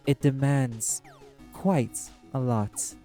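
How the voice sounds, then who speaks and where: A man playing a proud, ancient arch-demon who runs a casino in an audio roleplay, with a haughty, measured, theatrical drawl. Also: medium pitch, steady tone, loud music behind the voice, voice pushed up. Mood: sly, bargaining. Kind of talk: scripted audio roleplay monologue.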